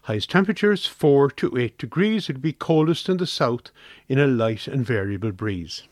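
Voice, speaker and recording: A man's voice: medium pitch, lively intonation, normal speed, no room sound, clean studio sound. An Irish man reading a scripted weather forecast for community radio.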